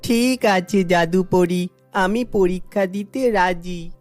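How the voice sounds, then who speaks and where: A woman's voice: fairly low pitch, slow, darkish tone, faint music behind. A woman voicing a poor, earnest little boy in a children's cartoon, with a plaintive, pleading manner.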